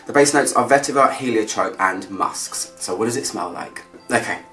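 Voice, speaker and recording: A man's voice: fairly low pitch, expressive, normal speed, sharp tone. Guy chatting through a perfume review, bit far from the mic in an echoey room, faint music behind. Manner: chatty, descriptive, thinking aloud. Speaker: fragrance reviewer. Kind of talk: casual vlog review.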